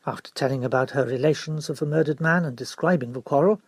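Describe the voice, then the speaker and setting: Guy reading a novel out loud, formal but expressive, mid pitch, really dry sound. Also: fast, husky, no echo. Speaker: audiobook narrator. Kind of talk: scripted literary narration.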